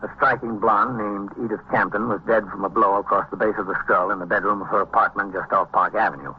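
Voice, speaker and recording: An actor playing a calm, methodical police captain in a vintage radio drama, dry and matter-of-fact, with clipped procedural delivery. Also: male voice, lowish voice, fast talker, close to an everyday voice. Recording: dull, noisy vintage radio recording.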